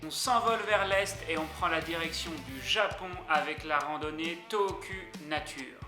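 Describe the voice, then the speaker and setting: A young man narrating a travel list video in an upbeat way, speaking directly to his viewers. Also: high voice. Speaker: YouTuber. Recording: echoey room with music underneath.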